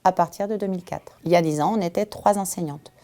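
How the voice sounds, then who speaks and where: A woman's voice: lowish voice, fast talker, dark tone. A woman schoolteacher explaining in an interview. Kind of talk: interview answer.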